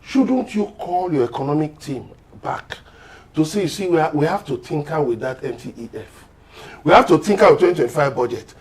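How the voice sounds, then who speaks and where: A man's voice: fairly high pitch, lively intonation, a little fast, a little mellow. A man with a Nigerian accent speaking as a panel guest in a political discussion, emphatic and urging in manner. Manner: emphatic, exhortative.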